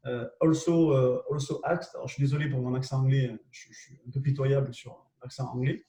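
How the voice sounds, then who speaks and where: A man's voice: medium pitch, level tone, a little fast, warm tone, roomy sound, recorded from a distance, poor audio. A man with a southwest French accent presents tools on a live webinar, talking hesitantly with lots of fillers and repeats, and often checks in with his listeners. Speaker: co-host presenting marketing tools.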